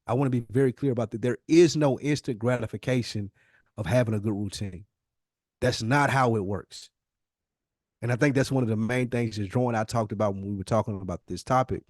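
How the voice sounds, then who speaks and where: Middle aged american guy on a podcast, casual pep talk, mid pitch, really dry sound. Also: brisk, a little mellow, no echo. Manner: emphatic, repeats phrases for emphasis. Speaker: podcast co-host.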